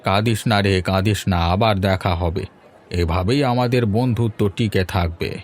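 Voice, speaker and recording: A man's voice: on the low side, normal speed, lightly acted. A man voicing an affectionate, teasing old village grandfather in a chatty, familiar way for a cartoon. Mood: tender. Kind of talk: Bengali cartoon voice acting.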